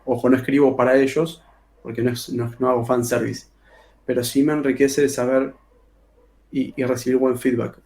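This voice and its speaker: A man's voice: medium pitch, creaky, a little mellow. A man with a Rioplatense Spanish accent, an author talking casually in an interview, colloquial, with many filler sounds and restarts. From Argentina. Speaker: author guest.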